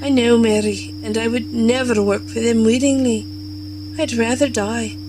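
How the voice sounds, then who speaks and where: A woman plays a principled female rabbit scientist held prisoner in a space radio play. Her voice is high, with music behind it. She speaks earnestly and stands firm. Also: unhurried, poor audio. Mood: grave, resolute. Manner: earnest, resolute. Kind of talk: scripted audio drama acting.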